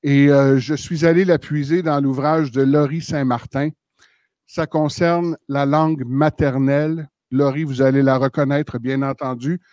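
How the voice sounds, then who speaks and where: A man moderating a literary panel, asking measured, formal questions. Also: medium pitch, fairly even intonation, a little mellow, dry recording.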